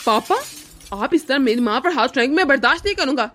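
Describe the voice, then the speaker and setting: A woman's voice: high-pitched, animated, quick pace, fairly bright tone, slight background noise. A woman voicing a principled young son in a cartoon family drama, indignant and pleading. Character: upright young grown son, defending his mother.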